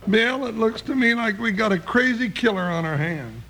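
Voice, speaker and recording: An actor playing a big, excitable, folksy deputy sidekick, eager to fight, in an old-time radio western. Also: male voice, high-pitched, moderate pace, full character voice. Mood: worried. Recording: noisy old radio recording with clicks.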